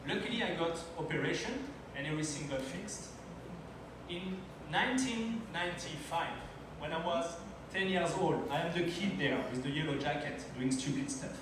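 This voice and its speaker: A man's voice: fairly high pitch, normal speed. A man in his thirties from France, speaking English as a second language and telling personal stories in a timed slide talk at a launch party. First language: French. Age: 30s. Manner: storytelling, personal anecdotes, non-native phrasing. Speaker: conference speaker. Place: event hall at a launch party. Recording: very echoey hall, distant mic, noisy.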